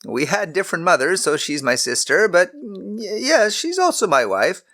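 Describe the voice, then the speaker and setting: A man's voice: high voice, very animated, normal speed, bright, forceful tone, pitched above the performer's own voice, exaggerated voice. A man voicing a timid old patriarch who stammers and hesitates. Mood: flustered.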